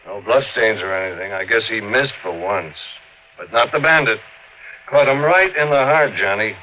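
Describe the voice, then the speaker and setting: An actor playing a plain-spoken, weary police sergeant in a 1950s radio crime drama, colloquial and matter-of-fact. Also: male voice, on the low side, normal speed, close to an everyday voice. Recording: muffled old radio recording, light hiss.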